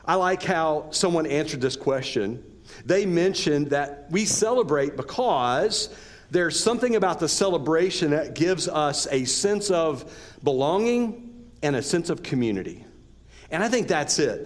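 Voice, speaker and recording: Higher-voiced American man preaching conversationally. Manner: repeats rhetorical questions and checks in with "right?". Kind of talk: conversational sermon.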